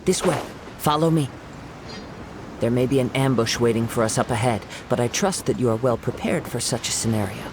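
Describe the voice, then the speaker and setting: An actor playing a calm young male wandering swordsman in a video game, measured and poised. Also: medium pitch, background noise, close to an everyday voice. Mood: composed.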